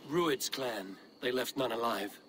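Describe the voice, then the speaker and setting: Man playing a blunt, mid-pitched Viking warrior. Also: measured pace, background noise, close to an everyday voice. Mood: steady, frank. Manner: dry, challenging, self-assured. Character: steady, blunt Viking clan warrior, male, confident. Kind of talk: video game cutscene acting.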